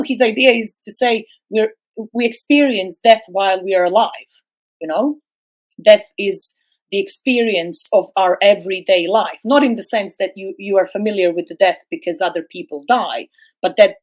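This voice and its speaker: A middle-aged woman who grew up speaking Serbo-Croatian, a guest philosopher on a podcast, speaking slowly and hesitantly with many fillers and restarts. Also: medium pitch, a little mellow, low-quality recording. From former Yugoslavia. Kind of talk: academic podcast discussion.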